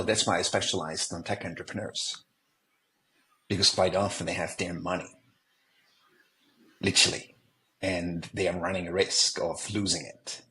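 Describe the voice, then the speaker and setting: A man's voice: lowish voice, fairly bright tone. A man from Switzerland, an executive coach, speaking earnestly and reflectively as a guest on a remote podcast interview. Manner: earnest, reflective, quotes others in dialogue. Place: remote call.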